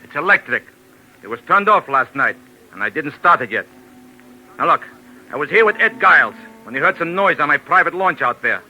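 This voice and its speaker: Very sharp, forceful, surly waterfront boss. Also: male voice, a little high, very animated, brisk, full character voice, low-quality recording. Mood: suspicious, aggrieved. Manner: blunt, gruff, bullying, broken tough-guy grammar. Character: heavyset, surly, hot-tempered waterfront boss. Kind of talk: 1940s radio drama acting. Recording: 1940s radio recording.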